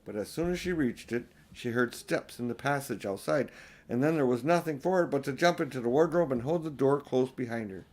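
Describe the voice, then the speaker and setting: A man's voice: medium pitch, expressive, fairly quick, a little mellow. An older man narrating a children's storybook expressively as an audiobook reader.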